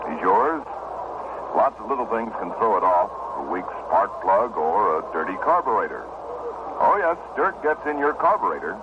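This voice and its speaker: Male ad announcer, lively swooping intonation, conversational sales pitch, 1960s style, thin radio sound. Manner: conversational pitch with rhetorical questions. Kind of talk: scripted 1960s radio ad narration. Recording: noisy narrow-band 1960 radio broadcast.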